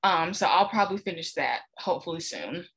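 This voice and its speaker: A woman's voice: on the low side, expressive, a little fast, gravelly, a bit bright, slightly rough, poor audio. A teenage girl co-hosting a book podcast, talking casually and hesitantly, with ums and self-corrections. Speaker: podcast co-host.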